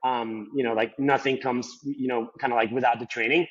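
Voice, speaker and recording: A young man from Colombia talks quickly, his Colombian accent showing through a native Spanish speaker's English. He rambles casually, stacking fillers, at a mid-range pitch. The recording sounds slightly dull and lo-fi. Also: steady tone. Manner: rambling, heavy on "like" and "you know" fillers. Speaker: podcast guest. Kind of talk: casual interview talk.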